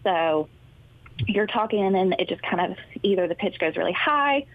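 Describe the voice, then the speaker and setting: A woman's voice: medium pitch, normal speed, no room sound. Woman speech therapist on a phone line. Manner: friendly, informative, steady explaining. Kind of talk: explaining in a talk show interview. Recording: thin phone-line sound, dry.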